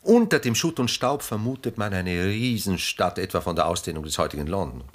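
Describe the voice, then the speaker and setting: An actor playing an urbane, ironic, detached middle-aged gentleman in a mid-century German radio play, speaking in a polished, wry, measured way. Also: low-pitched, expressive. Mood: fascinated.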